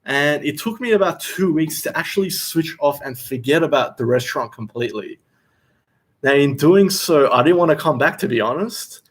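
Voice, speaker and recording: A young Australian man, a chef, hosting a casual livestream Q&A while seated, reading out viewer questions and answering in a relaxed, chatty way. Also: a little high, moderate pace. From Western Australia. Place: seated indoors.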